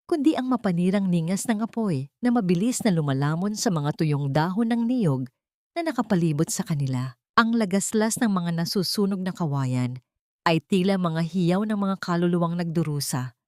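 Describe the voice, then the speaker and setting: Woman, lower-pitched voice, dry close sound, dramatic story narrator, solemn and emotive. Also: animated, normal speed, dark tone, no echo.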